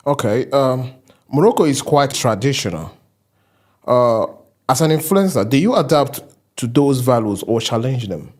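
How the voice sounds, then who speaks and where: A man's voice: mid-range voice, animated, normal speed. A man hosting a podcast, asking short, plain interview questions and teasing his guest at times. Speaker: podcast host.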